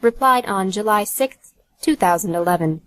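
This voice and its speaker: A woman's voice: mid-range voice, animated, moderate pace, fairly bright tone. A woman narrating an audiobook from a script in a neutral, announcing manner.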